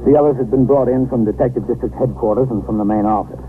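A man playing a composed, authoritative American precinct captain, measured and terse, in a scripted 1950s radio drama. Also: mid-range voice, close to an everyday voice. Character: composed, authoritative police precinct captain narrating his shift. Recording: noisy, narrow 1950s radio recording.

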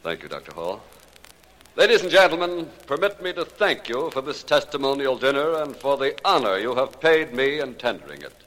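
A dignified but uneasy astronomer in a 1940s radio mystery. His diction is formal and educated, and his pitch moves widely. A long reverb hangs around the vintage recording. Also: very animated, normal speed, a bit bright. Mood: formal, grateful. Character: uneasy, weary male astronomer, dignified and evasive. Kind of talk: 1940s radio drama acting.

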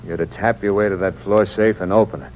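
An actor playing a cold-blooded, cynical killer in a 1940s American radio thriller, terse and slangy. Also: male voice, on the low side, fairly even intonation, quick pace, lightly acted. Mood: threatening. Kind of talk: 1940s radio thriller acting, first-person hardboiled narration. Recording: muffled old radio recording with hum and hiss.

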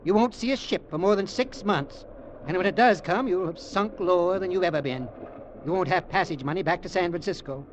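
An actor playing a rich, scheming middle-aged uncle, deliberate and smugly menacing, in a vintage radio drama. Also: male voice, sits high, natural voice. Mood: coldly threatening. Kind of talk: 1940s-50s radio drama acting. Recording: muffled, noisy vintage radio recording.

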